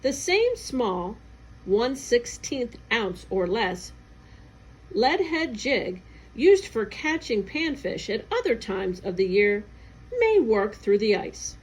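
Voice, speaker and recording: A woman reading an educational newsletter aloud in careful, clearly separated phrases. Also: fairly high pitch, very animated, slow, darkish tone, poor audio. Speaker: agency staff reader.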